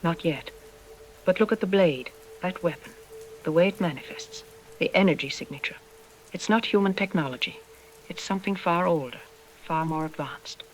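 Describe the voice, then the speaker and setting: Man playing a high-pitched, grave expert doctor. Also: slight background noise, lightly acted, low-quality recording. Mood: urgent, ominous. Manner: deliberate, ominous, with dramatic pauses. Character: grave, troubled archaeology expert doctor on the news. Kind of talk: apocalyptic audio drama news interview.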